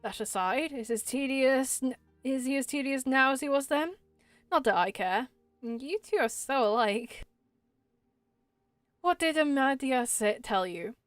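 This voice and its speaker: A woman's voice: high voice, lively intonation, a little slow, no echo, soft background music. A woman voicing an aloof, grumbling forest spirit in game quest dialogue, with a dismissive manner.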